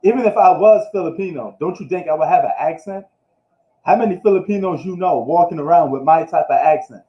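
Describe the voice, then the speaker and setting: A man's voice: on the high side, fairly quick. Slangy man ranting in an echoey room. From New York. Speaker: sports streamer. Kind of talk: casual live sports recap. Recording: echoey room, dull lo-fi live stream.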